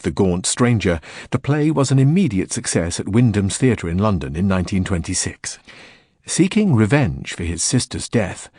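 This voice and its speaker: A man reading a scripted introduction as an announcer, with a husky voice, in a studio. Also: fairly low pitch, darkish tone, no echo. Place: dry studio.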